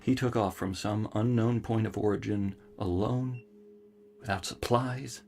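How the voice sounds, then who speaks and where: A brainy sad scientist in a sci-fi radio play, gravelly, halting, formal wording. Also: male voice, lowish voice, steady tone, darkish tone. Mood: earnest, confessional. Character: male scientist, earnest, melancholy, cerebral, given to theorizing. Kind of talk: science fiction audio drama acting.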